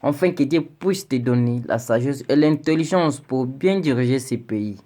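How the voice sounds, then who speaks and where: A man's voice: mid-range voice, animated, normal speed, warm tone. A young man from Congo speaking an earnest, pleading video message to his president, with stammered repetitions. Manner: earnest, pleading, rising into indignation with repeated stammered words. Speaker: citizen addressing the president.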